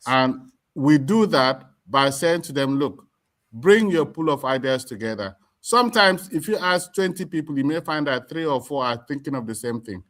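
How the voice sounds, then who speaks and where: A middle-aged Nigerian man with a Nigerian accent, speaking as an interview guest in a deliberate, emphatic way, repeating words as he forms his points. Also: on the high side, expressive, fairly bright tone. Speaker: community association chairman, interview guest. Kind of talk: explanatory interview talk.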